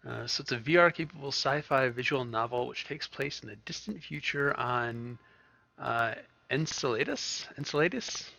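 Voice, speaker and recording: A man's voice: medium pitch, expressive, normal speed, vocal fry, sharp tone, no echo. A man giving casual commentary as host of a gaming video.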